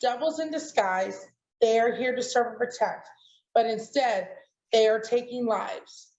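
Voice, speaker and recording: Woman, fairly high voice, emphatic spoken word poet, dull lo-fi recording. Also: unhurried, a little mellow. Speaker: poet, showcase participant. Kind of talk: spoken word poetry recital. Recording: roomy, dull lo-fi online audio.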